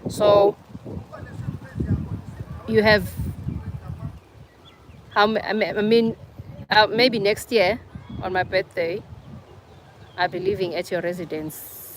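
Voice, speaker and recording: A woman's voice: mid-range voice, fairly even intonation, slow, long pauses, slight background noise. A young South African woman with a South African accent, hosting a casual livestream and chatting with viewers, teasing them by name. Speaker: livestream host. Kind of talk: casual live chat with viewers.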